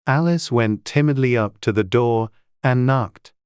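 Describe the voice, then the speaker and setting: A man's voice: medium pitch, measured pace, clean tone, no room sound, studio quality. A man reading scripted storybook narration as an audiobook narrator.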